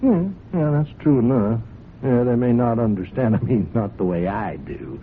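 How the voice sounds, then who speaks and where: A man's voice: mid-range voice, animated, normal speed, close to an everyday voice. A man acts out a sly country blackmailer in an old radio play, on a muffled, noisy recording. His folksy voice swings up and down widely, all false friendliness and hinted threat. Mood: mock-sympathetic, threatening. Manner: insinuating, falsely amiable, chuckling, hesitant phrasing. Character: sly, folksy male horse-farm hand turned blackmailer. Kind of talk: radio drama acting.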